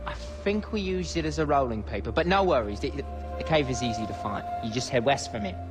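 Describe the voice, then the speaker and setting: An actor voicing a rambling, laid-back British stoner in a video game, with a matey, hesitant way of talking. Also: male voice, mid-range voice, animated, quick pace, lightly acted. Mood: spaced-out storytelling. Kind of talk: comic video game dialogue. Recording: music behind the voice.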